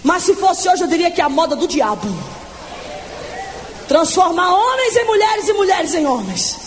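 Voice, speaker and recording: A woman in her twenties preaching her testimony in a fervent, shouting evangelical sermon, stretching words for emphasis. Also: extremely high pitch, slight background noise. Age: 20s.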